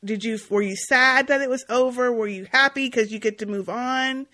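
A woman co-hosting a podcast in a casual, animated, playfully bickering style. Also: on the high side, moderate pace. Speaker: podcast co-host. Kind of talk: casual, chatty podcast interview.

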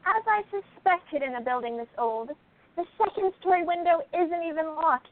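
A shrewd lady detective acted by a woman in a radio drama, very high in pitch and quietly in command. Her phrasing is poised and deliberate, over thin, phone-like audio. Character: composed, shrewd female sleuth, quietly in command. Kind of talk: radio play acting.

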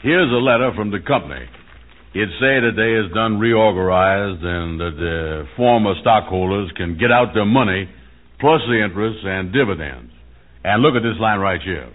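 An actor playing a gullible, lovelorn man after money, with slow, folksy, ungrammatical talk, in old-time radio comedy style. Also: fairly low pitch, animated, natural voice. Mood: confiding, eager. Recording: muffled 1940s-50s radio recording.

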